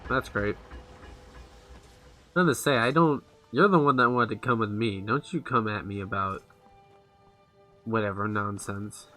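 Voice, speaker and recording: A man's voice: on the low side, unhurried, long pauses, pushed and bright, faint music behind. A man doing casual gameplay commentary, answering game characters with informal, wry back-talk. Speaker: gaming video host, player.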